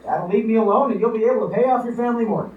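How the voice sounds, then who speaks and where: Actor as a scheming young bachelor, echoey recording. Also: male voice, high voice, very fast, phone-quality sound, close to an everyday voice. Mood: eager, scheming. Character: fast-scheming, flustered young bachelor dodging marriage. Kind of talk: live radio comedy play acting. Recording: echoey, distant, noisy live stage recording.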